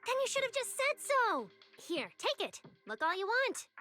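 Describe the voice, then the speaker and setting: A woman voicing a spirited young woman in a video game, who teases quickly. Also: very high voice, heavily acted. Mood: teasing. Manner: quick, teasing and animated. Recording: game audio under music.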